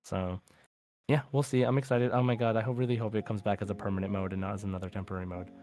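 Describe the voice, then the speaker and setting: Low-voiced enthusiastic man hosting a podcast. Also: steady tone, fast, darkish tone. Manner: enthusiastic, rambling. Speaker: podcast host. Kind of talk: casual gaming podcast talk.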